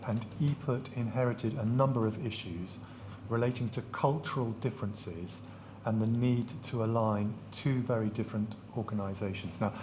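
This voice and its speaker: A man, questioning a witness at a public inquiry in a measured, precise and courteous way. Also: fairly low pitch, a little mellow, a little hiss or hum. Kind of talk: formal questioning. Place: large public hearing hall.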